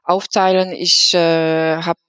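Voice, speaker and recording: A woman's voice: lowish voice, level tone, takes it slowly, fairly bright tone, clear, resonant tone, no room sound. A woman from Poland with a Polish accent, speaking as a guest on a podcast with lots of fillers and restarts. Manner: hesitant, many fillers and restarts. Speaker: employee guest. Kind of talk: podcast conversation. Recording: dull lo-fi sound.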